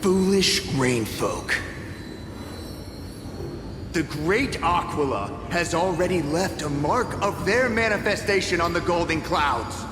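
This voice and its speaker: An actor playing an arrogant, zealous priest in a game, haughty and declamatory. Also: male voice, on the high side, animated, slow. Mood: contemptuous, zealous. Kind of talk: theatrical game voice acting. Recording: cavernous echo, music behind.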